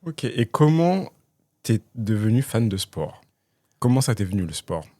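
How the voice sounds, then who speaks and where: Low, mellow-voiced podcast host. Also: male voice, very animated, a little slow, no room sound, clean studio sound. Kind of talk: scripted intro, then interview questions.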